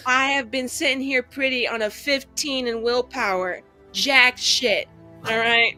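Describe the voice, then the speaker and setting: Female tabletop player, bright and fairly high voice, rambling, casual, comically exasperated, sweary. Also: steady tone, unhurried, soft background music. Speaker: player in a tabletop roleplaying game. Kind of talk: casual chat, in-game improvising.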